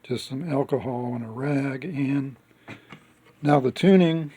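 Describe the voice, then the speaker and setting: A man giving a casual step-by-step demonstration as a hobbyist, speaking haltingly with pauses as he works. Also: medium pitch, dark tone, slight background noise. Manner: halting, pausing mid-sentence as he works. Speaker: hobbyist restoring a vintage radio.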